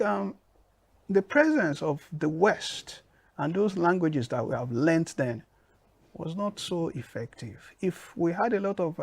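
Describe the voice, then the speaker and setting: A man with a Nigerian accent answering interview questions in a measured, emphatic way. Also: on the high side, darkish tone, dry recording. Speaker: guest.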